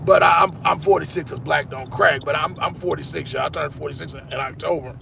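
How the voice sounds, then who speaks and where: A man's voice: a little high, fast, vocal fry, background noise. A man in his forties, hosting a sports channel, chatting casually with viewers in a rambling way, often repeating "man". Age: 40s. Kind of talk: casual live-stream chat with viewers.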